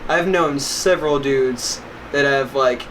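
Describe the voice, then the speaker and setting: A man's voice: mid-range voice, takes it slowly, a bit bright. Rambling young man, noisy room. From West Virginia. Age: young adult. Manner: rambling, filler-heavy, "like" often. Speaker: vlog co-host. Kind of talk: casual chat. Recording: noisy home recording.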